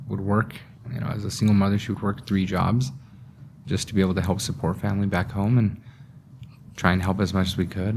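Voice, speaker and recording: Low-voiced young man, measured and halting. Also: vocal fry, a little mellow, slight background noise. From Afghanistan. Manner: halting and measured, with many pauses and restarts. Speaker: interviewee. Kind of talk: personal testimony in a documentary interview.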